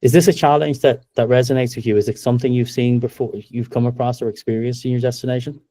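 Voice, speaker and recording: Irish man with a mellow voice. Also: mid-range voice, fast talker, no echo. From Ireland. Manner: steady, upbeat, many ums. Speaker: webinar presenter, company co-founder. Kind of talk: business presentation.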